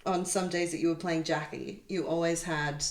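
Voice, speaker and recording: A woman's voice: lowish voice, fairly even intonation, moderate pace. Woman podcast host, echoey room. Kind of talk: conversational podcast hosting. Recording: roomy, echoey indoor sound.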